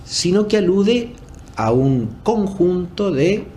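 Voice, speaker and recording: A man giving an unscripted academic lecture in a formal, didactic and deliberate way, rephrasing as he goes and often checking in with short tag questions. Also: high-pitched, sing-song, warm tone, a little hiss or hum. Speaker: philosophy lecturer.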